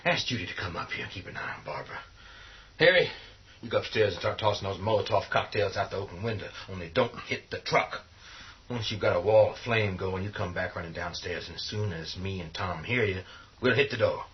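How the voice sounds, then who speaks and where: A man's voice: low voice, a little fast, close to an everyday voice. An actor playing a commanding, hard-headed man in a radio horror drama, blunt and giving clipped, urgent orders. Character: commanding, hard-headed man leading zombie siege survivors. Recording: dull lo-fi sound.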